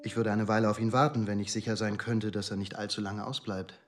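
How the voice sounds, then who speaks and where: A man's voice: on the low side, level tone, fast talker, close to an everyday voice. A man playing a formal, insistent police inspector who questions in a clipped way in a radio crime drama. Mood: probing, formal.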